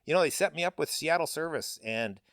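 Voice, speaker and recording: Loud, blunt middle-aged man. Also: a little high, expressive, a little fast, no room sound, clean studio sound. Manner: loud, blunt, casual with frequent fillers. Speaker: guest, paving business owner. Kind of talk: candid interview talk.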